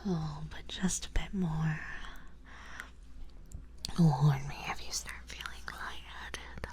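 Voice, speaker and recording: A woman playing a doting, possessive, genteel vampire in a whispered ASMR roleplay, speaking softly and coaxingly in a breathy whisper. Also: deep voice, steady tone, slow pace. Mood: indulgent, hungry. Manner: soft, coaxing, genteel, indulgent.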